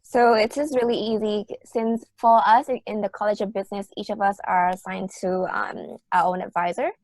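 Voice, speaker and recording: A woman's voice: mid-range voice, steady tone, normal speed, a little mellow, dry recording. A woman in her early twenties from Vietnam with a Vietnamese accent, giving informal answers as a student panelist. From Hanoi, Vietnam. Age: early 20s.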